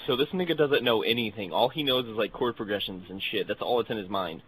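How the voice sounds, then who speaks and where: A man's voice: lowish voice, fast talker, breathy edge, rough recording. A young man casually telling a funny story to friends, full of swearing, slang and quoted dialogue. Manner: profane, slangy, animated retelling with quoted dialogue. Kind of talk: casual comedic anecdote among friends.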